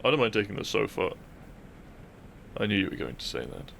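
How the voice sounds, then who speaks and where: Man acting as low, gravelly, dry-witted best friend. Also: big pitch swings, a little fast, fairly bright tone, a little hiss or hum, full character voice. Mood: shy, teasing. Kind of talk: intimate audio roleplay acting.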